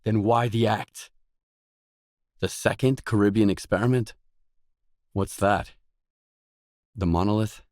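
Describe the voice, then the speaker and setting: Low-voiced, blunt game hero, dry sound. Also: male voice, fairly even intonation, slow, pauses often, darkish tone, no room sound. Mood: probing, wary. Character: gritty male stalker protagonist, wary, blunt, world-weary adult. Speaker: video game protagonist. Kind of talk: acted video game dialogue lines.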